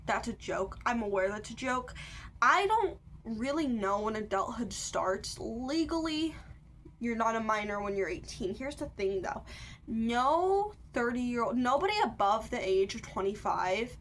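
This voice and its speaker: A woman's voice: a little high, lively intonation, unhurried, slight background noise. A nineteen-year-old woman chatting and ranting about books, rambling with lots of fillers and self-corrections. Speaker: book vlogger. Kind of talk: casual chatty book review rant.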